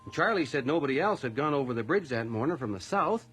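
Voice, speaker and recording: A man's voice: medium pitch, animated, fast, noisy background, close to an everyday voice. A man acting in a radio drama as an earnest, deferential police constable with an official manner. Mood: earnest, explaining.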